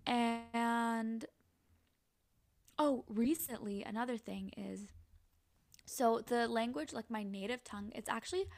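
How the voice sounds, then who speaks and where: A woman's voice: on the high side, slow, long pauses, darkish tone. A young woman student co-hosting a podcast, whose first language was Urdu, rambling casually and restarting her sentences. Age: young adult. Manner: rambling, restarts often, laughs easily. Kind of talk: casual chat.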